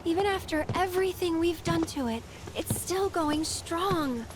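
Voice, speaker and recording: High, unhurried young adventurer woman. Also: noisy background. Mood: awed, curious. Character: gentle, wonder-filled young female adventurer. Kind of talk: cinematic video game acting.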